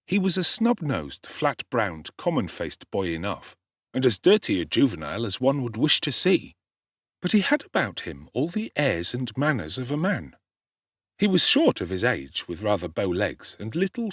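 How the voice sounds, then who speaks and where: A man giving a theatrical, expressive narration of a literary audiobook. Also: mid-range voice, husky, no echo, clean studio sound.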